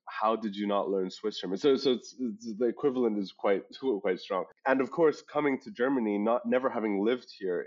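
A man from Hong Kong with an international school English accent, telling stories as an interview guest in a halting, self-correcting, emphatic way. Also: lowish voice, darkish tone. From born and raised in Hong Kong. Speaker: startup founder and interview guest. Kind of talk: conversational interview answers, storytelling. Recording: dull lo-fi podcast audio.

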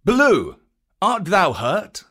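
A reader voicing a big kindly old bear who speaks gravely and fondly in a storybook. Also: medium pitch, wildly swooping pitch, slow, bright, forceful tone, voice pushed up, full character voice. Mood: tender.

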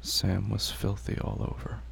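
A man narrating a scripted horror audio drama in terse, grave, deliberate phrases with long pauses. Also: fairly low pitch, monotone, creaky, a little hiss or hum.

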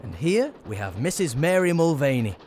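An actor playing an earnest, courteous captain in a radio drama, polite and slightly flustered. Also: male voice, fairly high pitch, sing-song, normal speed, a little mellow, slight background noise. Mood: eager, courteous. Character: earnest, eager English captain, courteous host's aide.

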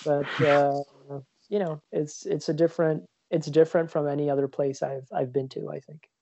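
A man's voice: on the high side, level tone, a little fast, vocal fry, noisy background. A man from Switzerland chatting casually as a podcast co-host, rambling with lots of fillers and restarts. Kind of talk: casual chat. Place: lives in New York.

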